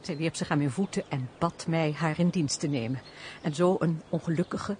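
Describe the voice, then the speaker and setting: An actress playing a sensible, dryly teasing countess, speaking formally in a Dutch period radio play. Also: female voice, low voice, moderate pace, dark tone, slight background noise, close to an everyday voice. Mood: earnest, storytelling. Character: teasing, sensible countess, elder-sisterly and worldly.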